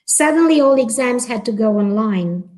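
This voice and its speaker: A middle-aged woman from Romania speaks through a video call computer mic with a slightly raised pitch. She presents a prepared academic talk in a careful, measured way, often pausing on "uh" and "um". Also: lively intonation, darkish tone, rough recording. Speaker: guest panelist, associate professor.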